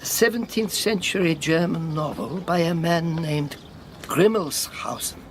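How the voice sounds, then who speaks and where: A battle-worn, sardonic refugee in a radio drama. He talks very slowly in a fairly high male voice, declaiming in halting phrases with mocking formality. There is faint noise and ambience behind him. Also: expressive. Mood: confiding, wry.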